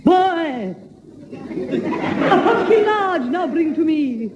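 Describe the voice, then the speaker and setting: A woman's voice: very high voice, very animated, extremely slow, crowd noise, heavily acted. A woman playing a commanding fairy godmother, declaiming singsong rhymes in a radio pantomime. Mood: grand, commanding. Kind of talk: rhyming 1960s radio pantomime verse. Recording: dull, faintly noisy 1960s radio, audience.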